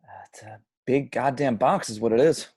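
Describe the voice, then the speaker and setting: A man plays a young husband in his late twenties, boyish and sarcastic. The voice has a lot of fry and a casual delivery, as in a film drama read at home. It is recorded close and dry, with no room sound. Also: mid-range voice, moderate pace, dark tone, no echo. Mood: wry, sarcastic.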